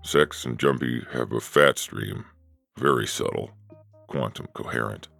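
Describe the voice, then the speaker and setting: A man acts a sly hacker in a science fiction audiobook, with a bottomless bass voice full of creak. He croons his few words slowly and portentously, heavy with mystery. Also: a bit bright, no room sound, soft background music, full character voice. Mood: grave. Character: sly, laconic male hacker, deep, slow and mysterious.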